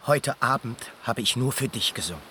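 A lovelorn young suitor in a radio drama. He is formal yet urgent, with a mid-pitched, bright and tense voice over faint ambient noise. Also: animated, normal speed. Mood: jealous, accusing. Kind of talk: radio play acting.

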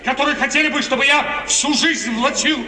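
An actor playing an imperious, lovesick young prince in a 1950s radio drama, with impassioned, declamatory theatrical delivery. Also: male voice, extremely high pitch, normal speed. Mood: furious, anguished. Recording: echoey, distant, lightly noisy 1950s radio recording.

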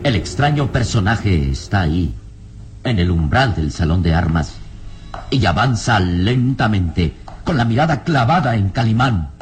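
A man's voice: fairly low pitch, big pitch swings, a little slow, faint music behind. A man narrating an old-time Spanish-language radio serial in a solemn, suspenseful, scripted style.

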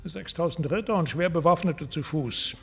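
An actor playing a haughty French military leader in a radio drama, declaiming battle plans in a husky, gravelly voice. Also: male voice, on the high side, moderate pace, exaggerated voice. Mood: proud, confident. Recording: long hall reverb, faint music behind.

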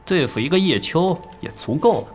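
A man's voice: fairly high pitch, expressive, fairly quick, a little hiss or hum, natural voice. An actor playing a cocky, dismissive male star player who scoffs at his rivals in an audio drama. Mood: arrogant.

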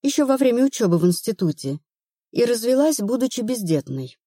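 A woman's voice: on the high side, sing-song, brisk, dark tone, dry recording, studio quality, voice pushed up. A woman narrator playing an anxious, stubborn woman of thirty-one in an audiobook, plaintive and earnest. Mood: uneasy confiding.

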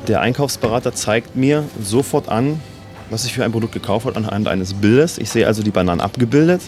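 A man's voice: lowish voice, a little mellow. A man explaining a product demonstration step by step in a matter-of-fact way.

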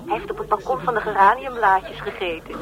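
An actress playing a quiet, wry, affectionate woman on the telephone in a radio drama. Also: medium pitch, a little fast, a crowd in the background, lightly acted. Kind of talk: naturalistic radio drama acting. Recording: quiet phone voice, music behind.

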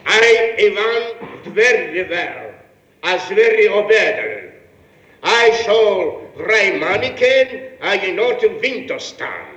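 An actor playing a dignified British visitor in a comic radio play, halting and pompous. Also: male voice, high voice, sing-song, a bit bright, heavily acted. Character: dignified British visitor talking in garbled foreign gibberish. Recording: roomy archive radio recording.

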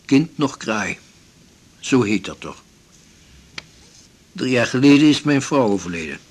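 Weary, husky old seaman. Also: mid-range voice, expressive, unhurried. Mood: bitter, resigned. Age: 70s or older. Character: elderly widowed retired seaman, weary, blunt, resolute. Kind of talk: 1980s Dutch radio drama acting.

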